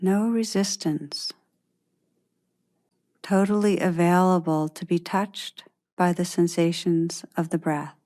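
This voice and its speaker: Slow, low-pitched woman guiding meditation. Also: fairly even intonation. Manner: gentle, unhurried, long pauses. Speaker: meditation guide. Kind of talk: guided meditation.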